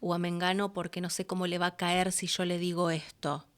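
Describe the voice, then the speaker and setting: Low-pitched Argentine woman, conversational. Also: level tone, a little fast, smooth. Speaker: interview guest, voice actress and producer. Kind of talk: casual conversational interview.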